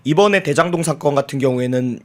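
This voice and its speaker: A man's voice: fairly high pitch, quick pace. A man with a cold giving analytical political commentary as a guest, explaining his argument emphatically. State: has a cold affecting the voice. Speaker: guest political commentator, former party spokesman.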